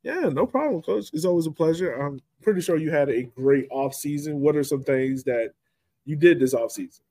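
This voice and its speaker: A man's voice: a little high, lively intonation, normal speed, dark tone, no echo. A man hosting a podcast, asking questions in an informal, slangy way. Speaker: podcast host, interviewer. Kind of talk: casual chat interview.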